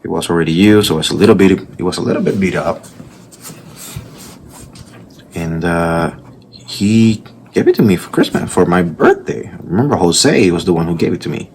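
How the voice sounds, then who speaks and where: A man with a strong Puerto Rican accent and an extremely deep voice talks in a casual, meandering way, circling back and restarting his sentences. He sounds like an artist chatting over his own drawing process, recorded with a faint hiss of background noise. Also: lively intonation, a little fast. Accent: Puerto Rican Spanish. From Puerto Rico. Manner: rambling storytelling with restarts and asides.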